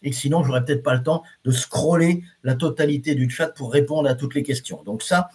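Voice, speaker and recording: A man's voice: mid-range voice, fairly quick, a little mellow. A man teaching reflexology on a live stream, talking casually to his viewers with many fillers and restarts and reading out their comments. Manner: reads viewer comments aloud.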